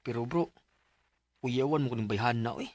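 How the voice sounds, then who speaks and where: A man's voice: medium pitch, normal speed, darkish tone, no room sound, close to an everyday voice. An actor playing an earnest, lovestruck male student, speaking expressively in a radio drama over music. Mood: troubled. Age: young adult. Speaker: letter narrator and lead character. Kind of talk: radio drama acting and narration. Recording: music under the voice.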